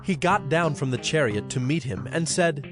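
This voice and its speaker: A man's voice: fairly high pitch, animated, normal speed. A man giving scripted narration for a dramatized scripture recording. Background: instrumental music.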